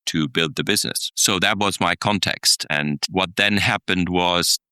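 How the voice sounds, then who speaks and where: A man's voice: low-pitched, measured pace, pushed and bright, smooth, no echo, studio quality. A middle-aged man, telling stories thoughtfully as a podcast guest, with many restarts and small chuckles. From Germany. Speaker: guest, executive coach. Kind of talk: podcast interview, reflective storytelling.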